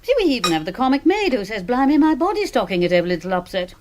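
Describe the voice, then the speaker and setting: An actress playing a wry British spinster crime writer in a radio drama, dry, ironic and articulate. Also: female voice, fairly high pitch, big pitch swings, very fast, darkish tone, slight background noise. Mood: wry, playful. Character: wry spinster sister, doctor and crime novelist, self-mocking.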